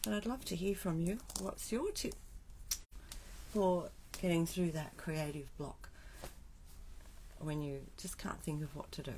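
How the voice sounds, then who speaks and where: A woman's voice: fairly low pitch, measured pace, a little mellow. Chatty woman with a scratchy cold. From Australia. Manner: chatty, asides to herself while setting up. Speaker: craft demonstrator. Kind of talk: casual live craft stream.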